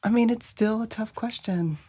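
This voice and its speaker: A woman co-hosting a podcast, telling a personal story in a gentle, hesitant way with pauses. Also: a little high, slightly rough. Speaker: podcast co-host. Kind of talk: reflective conversational storytelling.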